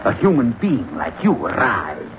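Silky, murderous villain, old-time radio melodrama, lowish and very animated. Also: male voice, a little slow. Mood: silky menace. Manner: suave, mock-courteous, theatrical. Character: menacing, sinister male villain with a murderous caged servant. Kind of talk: 1940s radio drama acting. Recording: noisy, muffled old 1940s radio recording.

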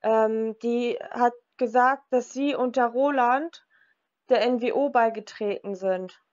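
Woman chatting on phone, bit high pitched, hesitant, plain delivery, dull lofi sound. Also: steady tone, takes it slowly, a little mellow. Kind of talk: casual phone chat.

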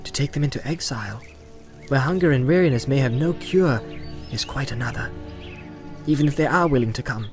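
A man voicing a thoughtful, burdened gentleman hobbit who speaks seriously and is easily irritated, in a storybook reading. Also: mid-range voice, a little fast, over music, natural voice. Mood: brooding.

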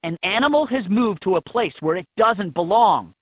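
A man reading scripted instructions for a children's learning game in an expressive, instructive manner. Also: high-pitched, moderate pace, breathy edge, no room sound. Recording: thin, phone-like lo-fi game audio.

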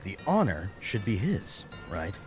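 A man's voice: lowish voice, wildly swooping pitch, a little slow, a little mellow. A man narrating a scripted story dramatically as an audiobook reader, with music behind him.

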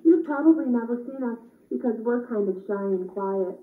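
Woman playing a shy, highish-voiced puppet reindeer. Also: expressive, moderate pace, lightly acted. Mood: polite, friendly. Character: shy, polite, friendly reindeer. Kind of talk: children's puppet show. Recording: echoey, tinny, distant camera.

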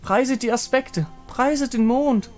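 A man's voice: very high voice, unhurried, darkish tone, soft background music, voice pushed up. A man voicing a devout, hopeful follower of a moon goddess in an earnest, reverent way. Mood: reverent joy.

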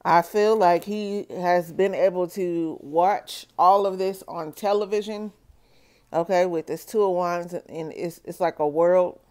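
A woman doing a solo tarot reading for her viewers, talking slowly and checking in with "okay?". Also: fairly low pitch, fairly even intonation, darkish tone. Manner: slow, measured, repeats "okay?" to viewers. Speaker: tarot reader.

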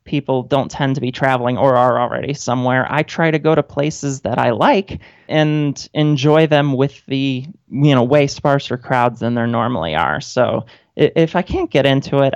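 A woman's voice: rumbling low, moderate pace, darkish tone, no room sound. A woman who is a guest on a radio interview, chatting casually with a wry manner. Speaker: guest, independent author and grad student. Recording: dull, lo-fi line.

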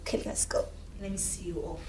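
A woman playing a wife in a comedy skit, speaking softly and teasingly, half whispering. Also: quick pace, loud music behind the voice. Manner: soft, hushed, teasing.

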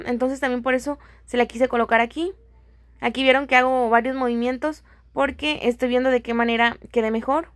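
A woman's voice: sits high, fairly bright tone, no room sound, studio quality. A woman with a Mexican accent giving a casual, chatty, friendly voice-over as a home decor vlogger.

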